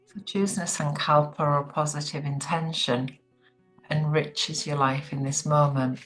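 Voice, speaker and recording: A woman leading a guided relaxation meditation in a soothing, gentle, unhurried manner. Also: sits low, steady tone, soft background music, rough recording.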